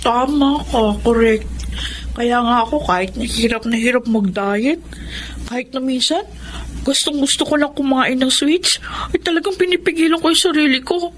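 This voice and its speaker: An actress playing a polite, bubbly young Filipino student in a radio drama. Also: female voice, high voice, moderate pace, a little hiss or hum, lightly acted. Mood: cheerful, confiding. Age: young adult. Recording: faint music behind the voice.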